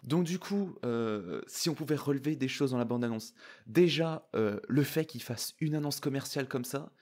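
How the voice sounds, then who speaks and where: A man giving casual, excitable, slangy reaction commentary. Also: mid-range voice, normal speed. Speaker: YouTuber reacting to and analysing a trailer.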